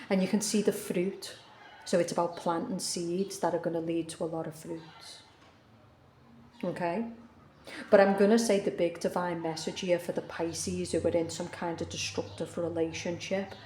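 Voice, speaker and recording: Low, mellow-voiced woman reading tarot. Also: reverberant, a little hiss or hum. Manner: earnest, repeats herself for emphasis. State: coming down with the flu. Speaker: tarot reader. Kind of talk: casual tarot reading monologue.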